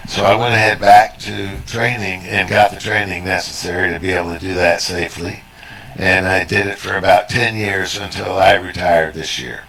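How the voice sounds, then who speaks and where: An older man gives conversational answers as an interview guest, in a rough, gruff voice. It sits fairly low and has a lot of gravelly creak. His delivery is halting and modest. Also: little pitch movement, a bit bright, rough recording. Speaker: interview guest, retired surgeon.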